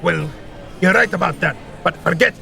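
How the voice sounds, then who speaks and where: Grumpy male artificer, high-pitched gravelly voice, indignant, theatrical, fantasy audio drama. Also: moderate pace, pushed and bright, faint music behind, heavily acted. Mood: exasperated, offended. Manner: blustery, exclamatory, colourful old-fashioned slang.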